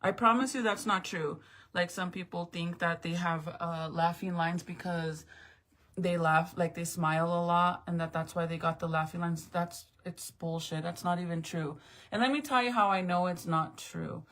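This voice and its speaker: A woman in her late thirties talks casually to her livestream viewers in a low, gravelly voice. She rambles from one thought to the next. She is frank and drops the occasional swear word. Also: fairly even intonation, moderate pace, fairly bright tone. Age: 30s. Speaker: livestream host.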